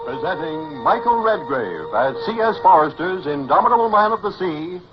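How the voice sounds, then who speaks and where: A man announcing the credits of a 1940s radio drama in a measured, declamatory delivery. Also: sits high, huge pitch swings, music in the background. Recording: muffled old radio transcription.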